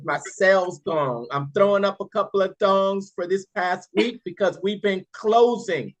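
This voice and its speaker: A host leading a sales practice video call, encouraging and affirming the others. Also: medium pitch. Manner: encouraging, affirming, drawn-out delivery. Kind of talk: casual group call.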